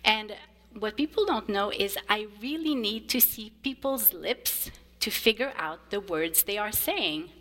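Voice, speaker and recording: A woman whose first language is French, a choir director, gives a gentle children's message in church. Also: medium pitch, expressive, a little slow. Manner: warm, simple storytelling to children. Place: church sanctuary. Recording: long reverb of a church sanctuary.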